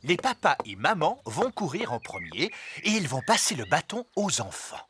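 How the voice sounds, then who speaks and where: A man's voice: fairly high pitch, huge pitch swings, moderate pace, noisy background. A man narrating a French children's cartoon from a script.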